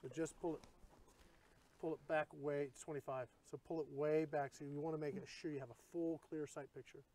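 Middle-aged male host, slightly high voice, easygoing explainer, outdoor range, faint hiss. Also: flat delivery, a little fast. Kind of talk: casual range chat.

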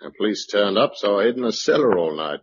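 Forceful, hot-tempered British survivor leader. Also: male voice, fairly low pitch, very animated, fast talker. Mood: blustering. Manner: emphatic, argumentative, speechifying. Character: hot-tempered forceful male organizer urging survivors to rebuild. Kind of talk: 1950s-60s British radio drama acting. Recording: dull old radio broadcast recording.

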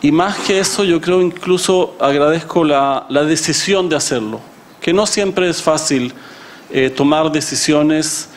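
A man in his fifties, born in Chile, speaks slowly at a conference table in a fairly high voice, hesitating and restarting as he searches for words. Faint room noise sits behind the gooseneck mic. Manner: halting, many fillers and restarts. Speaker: guest speaker. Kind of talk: formal speech, partly improvised. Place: conference hall, seated at panel table.

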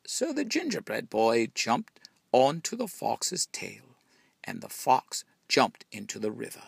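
Gravelly, animated man reading a storybook. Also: mid-range voice, takes it slowly, no room sound. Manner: expressive, dramatic storytelling. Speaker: storybook reader. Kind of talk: scripted storybook narration.

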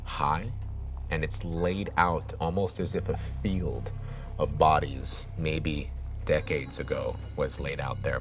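A man running a tabletop horror game as game master, describing scenes and hushing his voice for grim moments. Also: very deep voice.

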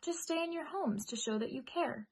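Woman voicing a curious, high-pitched little storybook mouse. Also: animated, moderate pace, a little mellow, a little hiss or hum. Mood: encouraging.

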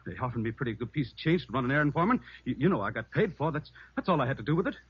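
Husky, swooping, shifty crook. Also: male voice, mid-range voice, expressive, very fast, no echo. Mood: frightened, pleading. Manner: defensive, slangy streetwise talk. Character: shifty small-time crook, evasive and scared under questioning. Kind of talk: 1950s radio drama acting. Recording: muffled old radio recording.

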